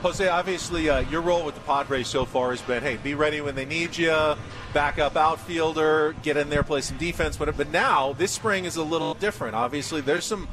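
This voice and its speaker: An American man works as a sports radio host, presenting live on location. His voice sits a little high for a man. Faint music can be heard along with his talk. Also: brisk. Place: spring training site, live on location.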